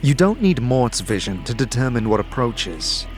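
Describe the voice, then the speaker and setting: A man's voice: medium pitch, big pitch swings, normal speed. Dramatic male fantasy narrator over music. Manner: vivid, suspenseful storytelling. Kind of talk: dramatic scripted second-person fantasy narration.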